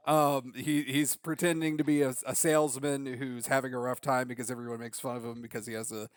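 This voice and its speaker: A man's voice: mid-range voice, flat delivery, smooth, no echo. A man with a mid-range voice co-hosts a podcast and chats casually. He stumbles over his words, repeats them and teases his partner. The sound is dry and close. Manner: halting, repeats words, teasing. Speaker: podcast co-host.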